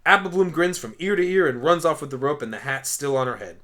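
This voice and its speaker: A man's voice: a little high, animated, fast talker, pushed and bright. A man reading scripted stage narration for an amateur radio play. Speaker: narrator and voice performer.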